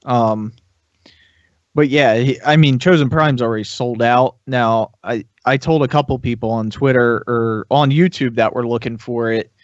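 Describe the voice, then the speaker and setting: A man's voice: mid-range voice, fairly even intonation, moderate pace, no echo. A man chatting casually as a podcast panelist reviewing toys, hesitant and thinking aloud as he goes. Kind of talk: casual chat. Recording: slightly dull, lo-fi sound.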